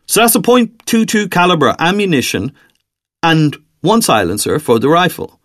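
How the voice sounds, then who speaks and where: A man's voice: on the high side, big pitch swings, moderate pace, a bit bright, no echo, studio quality, voice pushed up. A man voicing a chatty, teasing gun shop salesman in an audiobook, with a breezy, polite manner. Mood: businesslike.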